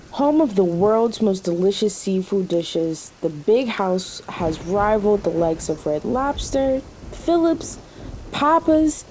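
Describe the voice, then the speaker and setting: A woman's voice: medium pitch, mellow, a little hiss or hum, close to an everyday voice, low-quality recording. A woman playing a weary, sarcastic diner waitress in an audio drama, with a dry delivery.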